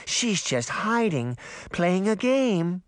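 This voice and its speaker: A man's voice: sits high, big pitch swings, no room sound, voice pushed up, full character voice. A man doing an eerie, dreamy little girl. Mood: dazed. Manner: slow, dreamy and insistent.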